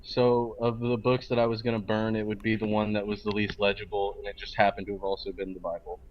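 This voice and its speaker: Man, low-pitched, casual roleplay player on a dull lo-fi connection. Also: flat delivery, fast, slight background noise. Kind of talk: casual chat about own character. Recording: dull lo-fi voice chat.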